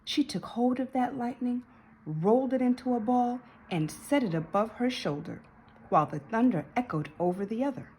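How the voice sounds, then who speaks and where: A woman reading a children's story aloud in an expressive way, with dramatic emphasis and drawn-out words. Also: fairly high pitch, breathy edge, rough recording. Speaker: storybook reader.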